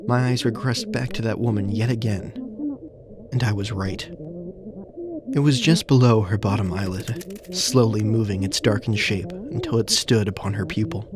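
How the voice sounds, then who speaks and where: A man acting as an uneasy protagonist who narrates a surreal audio drama in deliberate, literary, descriptive phrases. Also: lowish voice, animated, background noise, close to an everyday voice. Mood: measured, descriptive. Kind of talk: scripted first-person audio drama narration.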